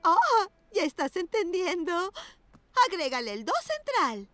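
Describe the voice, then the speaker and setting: A woman's voice: very high voice, sing-song, measured pace, heavily acted. A woman voicing a genteel cockatoo piano teacher in a children's cartoon, speaking in an encouraging, theatrical manner. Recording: loud music behind the voice.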